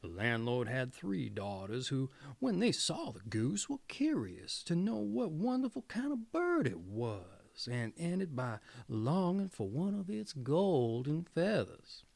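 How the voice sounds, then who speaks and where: Creaky, animated male fairy-tale storyteller. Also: fairly high pitch, slow, hardly any pauses, dry recording. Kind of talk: fairy tale narration, read aloud.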